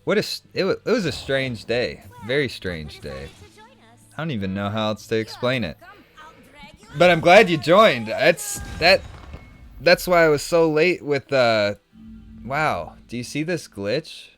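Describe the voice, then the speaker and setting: A man's voice: mid-range voice, measured pace, faint music behind. A man live-streaming a game and chatting casually, telling a rambling story with lots of restarts and fillers. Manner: animated, rambling, many restarts and "like". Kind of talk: casual storytelling while gaming.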